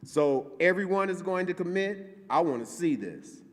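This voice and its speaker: A man playing the straight man in a comic announcement skit, often halting and restarting. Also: high-pitched, expressive. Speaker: congregation member making an announcement. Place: large church sanctuary. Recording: long hall reverb.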